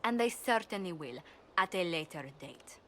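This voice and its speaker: An actress playing a composed, diplomatic noblewoman ambassador in a video game, speaking politely and formally, with measured firmness. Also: lowish voice, animated, bright, forceful tone, a little hiss or hum. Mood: politely firm. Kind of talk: video game cutscene acting.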